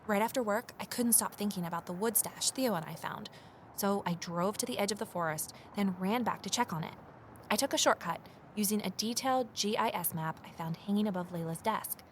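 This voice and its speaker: A woman's voice: medium pitch, brisk, a little hiss or hum, lightly acted. An actress playing a determined, inquisitive investigator who tells her story in an earnest, confiding way. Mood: uneasy, intrigued. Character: Evelyn, determined, inquisitive young woman investigator and narrator. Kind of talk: first-person scripted drama narration and dialogue.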